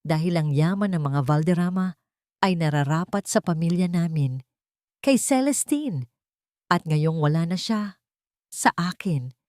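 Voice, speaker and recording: A woman's voice: fairly low pitch, lively intonation, unhurried, long pauses, darkish tone, dry recording, clean studio sound. A woman with a Filipino accent voicing a scheming, venomous rich female villain in a dramatic audio storybook. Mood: cold scorn. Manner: menacing, taunting, calculated.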